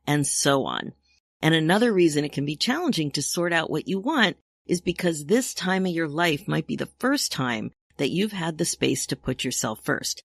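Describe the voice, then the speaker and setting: A woman's voice: deep voice, expressive, normal speed, gravelly, no room sound, clean studio sound. A woman in her sixties hosting a solo podcast as a coach, chatty and upbeat with frequent laughs. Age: 60s. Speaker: podcast host and midlife coach.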